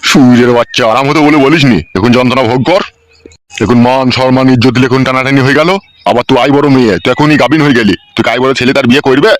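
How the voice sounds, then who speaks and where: A man's voice: medium pitch, fairly even intonation, quick pace, no echo, soft background music, natural voice. A man playing a blunt, scolding village uncle in a comedy cartoon, speaking colloquially with a Bengali accent. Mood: angry scolding.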